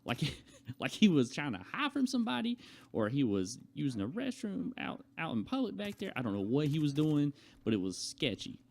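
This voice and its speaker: A man's voice: fairly high pitch, wildly swooping pitch, moderate pace, vocal fry, darkish tone. A young adult man chatting casually to viewers as a vlogger, telling a rambling personal story in a conversational way. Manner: conversational, acts out quoted remarks.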